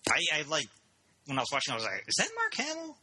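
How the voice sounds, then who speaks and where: A man with a very bright, slightly husky voice and lively swings in pitch. He chats casually, eager and opinionated. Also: fast talker. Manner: enthusiastic, opinionated, says "dude". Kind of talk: casual chat.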